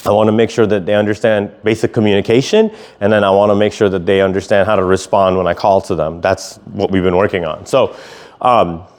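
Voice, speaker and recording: A man giving an instructional talk as a dog trainer, explaining things and using clear, short commands and praise. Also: fairly low pitch, fairly even intonation, a little fast.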